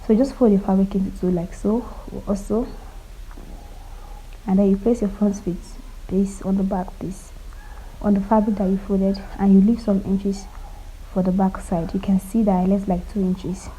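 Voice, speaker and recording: A woman with a cold walking viewers through cutting and sewing a top, speaking quietly and stopping often to check they are following. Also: level tone, normal speed, slight background noise, low-quality recording. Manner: quiet, low-volume delivery. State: has a cold. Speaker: sewing tutor. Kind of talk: casual step-by-step sewing tutorial.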